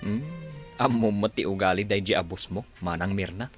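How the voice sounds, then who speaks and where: A man's voice: sits low, normal speed, soft background music, natural voice. A man with an Ilocano accent playing a cheeky, boastful driver in a radio comedy drama.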